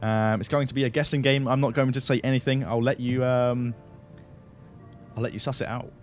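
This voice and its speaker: A man's voice: medium pitch, steady tone, fairly quick. A chatty man hosts a live stream and greets his viewers one by one. He laughs easily and keeps up a loose, friendly patter. Faint music plays underneath. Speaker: livestream host. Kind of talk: casual live stream chat.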